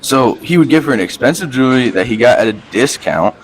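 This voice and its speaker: A reverend who used to be a hoodlum, played by a man, in an amateur radio play. His voice sits at a middling pitch, and he spins folksy tales peppered with street slang. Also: lively intonation, brisk, lightly acted, low-quality recording. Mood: confiding.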